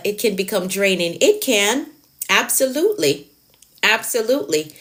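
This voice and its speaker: A woman's voice: medium pitch, a bit bright. A woman coach talking on a live stream, encouraging and emphatic, repeating her key phrases and answering viewers' comments. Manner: encouraging, motivational. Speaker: productivity coach.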